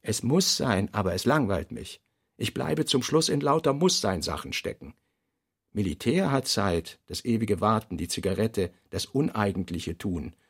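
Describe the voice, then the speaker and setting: Husky, reflective novelist. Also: male voice, fairly low pitch, lively intonation, normal speed, no echo, studio quality. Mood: weary, brooding. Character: reflective, self-doubting male novelist reading his own notes. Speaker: actor reading a novelist's notes and letters. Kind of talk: radio drama literary reading.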